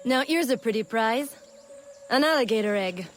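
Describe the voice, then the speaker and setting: Actress as a feisty, higher-pitched swamp smuggler. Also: female voice, expressive, normal speed, soft background music, natural voice. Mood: wry. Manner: sassy, blunt. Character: feisty, proud, sharp-tongued female swamp smuggler.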